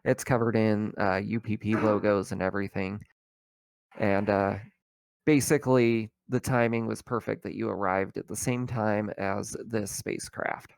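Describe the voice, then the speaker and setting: A man acting as game master, improvising narration for a tabletop roleplaying game in a halting, conversational way. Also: lowish voice, fairly even intonation, vocal fry, no room sound.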